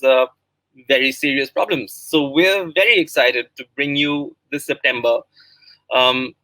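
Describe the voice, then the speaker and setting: A man's voice: mid-range voice, bright, forceful tone, no echo, low-quality recording. A man from India with an Indian accent, a writer presenting his project in a spoken promotional talk. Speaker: writer and guest speaker.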